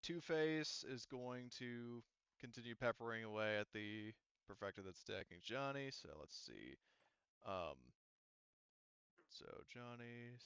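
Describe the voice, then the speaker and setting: A man acting as game master in an online roleplaying session, thinking aloud and haltingly working through rules and dice. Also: mid-range voice, a bit bright, clean tone, dry recording, highs cut off.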